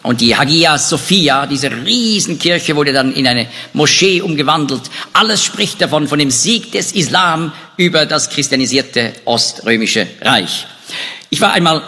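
A man giving a spoken talk on history, with frequent hesitations and restarts. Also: a little high. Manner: lively, animated delivery with hesitations. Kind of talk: lecture on European history. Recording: echoey hall reverb.

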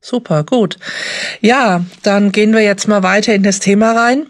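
A woman's voice: medium pitch, normal speed, no echo. A woman hosting a podcast interview, welcoming her guests and asking friendly, encouraging questions.

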